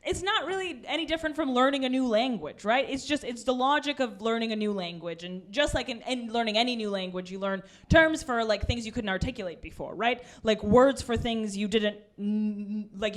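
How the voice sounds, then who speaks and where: A woman in her twenties from Russia, doing a stand-up comedy set with a deadpan, ironic delivery and quick asides. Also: fairly high pitch. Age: 20s. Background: audience laughter.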